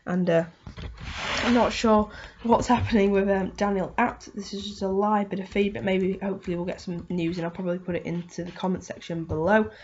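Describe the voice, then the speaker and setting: Hoarse woman rambling through a race review. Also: medium pitch, steady tone, fairly quick, dull audio, background noise. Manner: rambling, full of "um" and "you know". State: hoarse from a cold. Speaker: motorsport video host. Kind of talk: casual race review commentary.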